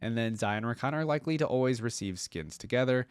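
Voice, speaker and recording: A man's voice: medium pitch, expressive, fast talker, clear, resonant tone, no room sound. A man hosting a reaction video with casual, informal commentary, thinking aloud as he goes.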